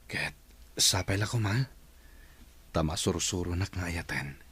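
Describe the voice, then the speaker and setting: An actor with a Filipino accent playing an earnest employer and father, gently courting, in a radio drama. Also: sits low, a little slow, slightly rough, full character voice. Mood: romantic, earnest. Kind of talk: Ilocano radio drama acting.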